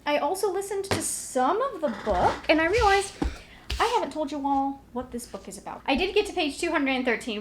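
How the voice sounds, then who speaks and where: High-voiced woman chatting casually. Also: background noise. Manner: rambling, conversational, self-deprecating. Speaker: book vlogger. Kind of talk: casual chatty vlog, recapping to viewers.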